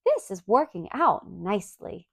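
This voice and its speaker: A woman's voice: medium pitch, huge pitch swings, unhurried, vocal fry, fairly bright tone, no room sound, clean studio sound, heavily acted. A woman voicing an earnest, bossy little girl in a storybook, prim, polite and officious. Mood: pleased.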